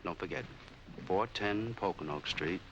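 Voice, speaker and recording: An American man playing a humble, loyal down-and-out friend in a 1940s film noir, speaking gently and deliberately. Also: sits low, muffled recording. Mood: humble, friendly. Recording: noisy old 1940s film soundtrack.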